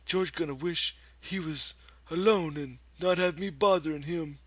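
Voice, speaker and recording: A man's voice: on the high side, a little slow, fairly bright tone, dry recording, pitched above the performer's own voice. A man voicing a simple-minded, anxious big man with plaintive, folksy rural speech in an audiobook. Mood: worried.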